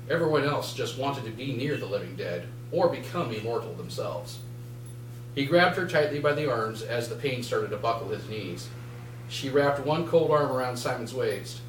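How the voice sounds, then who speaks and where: Man reading fiction aloud, roomy and distant. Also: mid-range voice. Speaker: author reading from own novel. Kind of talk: live dramatic prose reading. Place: indoor bookshop reading event. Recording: roomy, distant mic, faint noise and hum.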